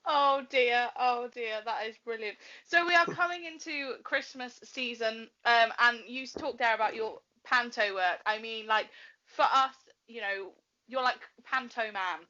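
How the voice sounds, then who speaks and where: A woman's voice: a little high, unhurried, pushed and bright. A woman, interviewing in a casual, chatty style with enthusiastic reactions. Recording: dull, lo-fi audio.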